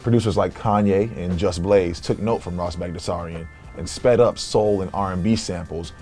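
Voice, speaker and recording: A man's voice: sits low, steady tone, moderate pace, dark tone, faint music behind. A young American man hosting with scripted educational narration. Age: young adult. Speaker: host. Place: small home-style music studio indoors.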